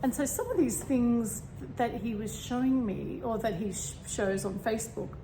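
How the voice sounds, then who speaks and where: A woman with a slightly croaky voice talking casually and meanderingly about spirituality, with many fillers and throat clearing. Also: a little high, normal speed. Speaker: spiritual vlogger. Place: home room with a fan running. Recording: steady fan hum behind. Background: fan noise.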